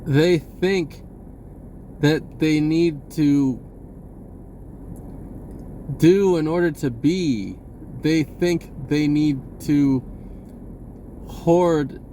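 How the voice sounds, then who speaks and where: A man giving a rambling, casual spiritual monologue in a halting, informal and blunt way, swearing at times. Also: medium pitch, warm tone, a little hiss or hum. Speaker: vlogger speaking a personal message.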